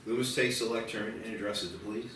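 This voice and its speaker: A man's voice: on the low side, steady tone, fast, fairly bright tone, a little hiss or hum. A man reading screenplay stage directions as the narrator of a table read. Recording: very echoey, distant mic, music behind. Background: music.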